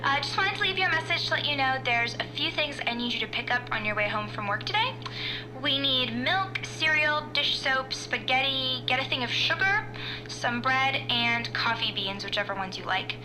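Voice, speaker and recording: An actress playing a sweet wife leaving a breezy answering machine message. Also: female voice, high-pitched, animated, normal speed, background noise.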